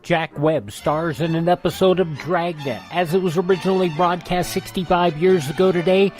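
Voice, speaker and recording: A man's voice: sits high, normal speed, a little mellow, faint music behind. A man hosting a radio show, reading scripted on-this-day history items.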